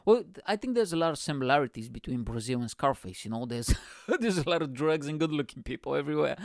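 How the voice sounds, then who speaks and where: A young man from Bulgaria, a podcast host telling stories in a rambling, profane, animated way. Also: a little high, brisk, dry recording, studio quality. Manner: profane, rambling, animated, constant "you know what I'm saying". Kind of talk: casual storytelling monologue.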